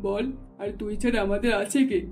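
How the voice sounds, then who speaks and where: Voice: mid-range voice, flat delivery, a little fast, over loud music, lightly acted. An actor voicing a doting, wealthy mother in a cartoon, talking in a soothing, persuading way. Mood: indulgent. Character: indulgent wealthy mother of an only daughter. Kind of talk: cartoon dubbing.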